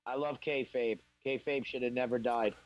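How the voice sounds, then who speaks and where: A man's voice: medium pitch, fairly even intonation, normal speed, highs cut off. A man co-hosting a casual podcast, ranting with heavy swearing. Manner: ranting, profane. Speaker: podcast co-host. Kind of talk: casual chat.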